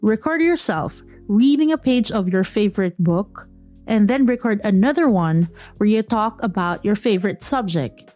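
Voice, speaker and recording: A Filipino-accented woman hosts a podcast, handing out advice in a lively, teasing, no-nonsense way. Her voice sits at an ordinary middle pitch for a woman. Soft music plays faintly underneath. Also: moderate pace. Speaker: podcast host. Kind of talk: giving tips, mixing English and Tagalog.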